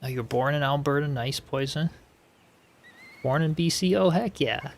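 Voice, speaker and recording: A man's voice: on the high side, moderate pace, gravelly. Laid-back male game streamer. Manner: relaxed, rambling, reads chat aloud, frequent likes and you-knows. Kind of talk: casual live-stream chat while building in a game.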